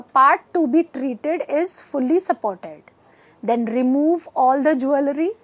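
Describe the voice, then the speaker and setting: Indian lady teaching off slides, high voice, really slow and halting. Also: a bit bright. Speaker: lecturer. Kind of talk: educational lecture, reading from slides. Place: indoor studio set. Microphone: lapel mic.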